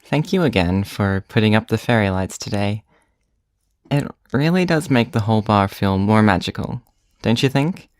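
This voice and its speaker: A gentle, openly vulnerable character, played by an actor in an audio drama. The voice sits at a middle pitch and speaks with soft sincerity, recorded dry and intimate. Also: expressive, normal speed, no room sound, close to an everyday voice. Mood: affectionate, playful. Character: gentle, vulnerable bar resident leaving an affectionate voicemail.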